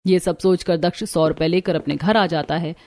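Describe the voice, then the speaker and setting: A woman's voice: on the low side, steady tone, normal speed, a little mellow. A woman reading scripted storytelling narration for a cartoon.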